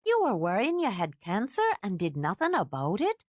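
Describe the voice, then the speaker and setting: A woman's voice: mid-range voice, huge pitch swings, moderate pace, a little mellow, no echo, studio quality, voice pushed up. A woman voicing a stern, bossy older housekeeper who scolds and lectures out of fierce fondness. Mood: scolding.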